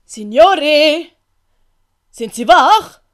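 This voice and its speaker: Commedia servant clown, played by a woman: naive, exclamatory man, high-pitched, swooping delivery. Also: animated, drawn-out words, full character voice. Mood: alarmed. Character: excitable, simple-hearted male servant clown, food-loving. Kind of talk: commedia dell'arte audio play acting.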